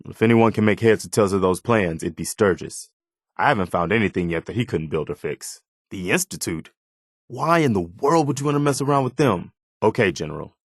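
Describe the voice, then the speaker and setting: A man's voice: on the low side, animated, fairly quick, husky, no room sound, clean studio sound. An American man voicing an earnest, loyal, plain-spoken militia officer in a post-apocalyptic video game. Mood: thoughtful. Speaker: video game companion character. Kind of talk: scripted video game dialogue.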